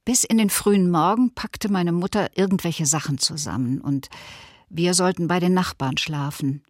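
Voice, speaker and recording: Documentary radio drama: actress as pained woman witness, restrained, deep register, dry close studio sound. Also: moderate pace, dry recording, lightly acted. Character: elderly woman survivor breaking long silence, pained, restrained.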